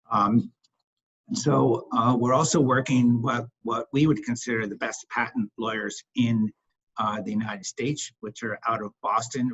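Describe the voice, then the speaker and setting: A husky man speaking as a company executive, answering questions on an investor call in a halting way, repeating words for emphasis. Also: mid-range voice, fairly bright tone. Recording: dull, lo-fi conference call audio.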